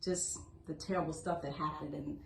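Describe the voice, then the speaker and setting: A woman's voice: sits low, fairly even intonation, normal speed, gravelly, fairly bright tone. A woman chatting casually and enthusiastically as a podcast co-host. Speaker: interview co-host and music video director. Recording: roomy echo, faint background noise.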